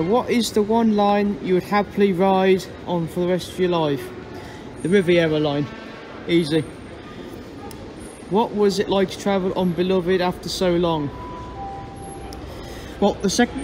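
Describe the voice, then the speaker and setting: A young man from England answering viewer questions in a casual vlog, talking in halting, restarting phrases. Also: sits high, fairly even intonation. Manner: halting, restarts phrases, enthusiastic about trains. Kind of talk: casual Q&A narration. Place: on a train and at stations. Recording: loud train and vehicle noise behind.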